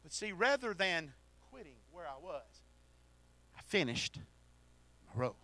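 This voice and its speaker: A middle-aged man preaching a sermon into a handheld mic in a church, swinging from shouted exhortation to quiet storytelling. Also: sits high, big pitch swings, a little slow. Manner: preaches while pacing the platform. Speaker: pastor. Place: church sanctuary.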